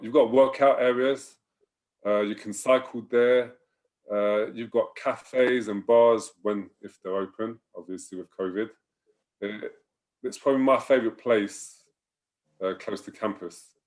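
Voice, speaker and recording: Relaxed, low-voiced man rambling on a call. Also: a little slow. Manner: relaxed, rambling, trails off softly. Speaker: university staff member. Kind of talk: casual panel chat.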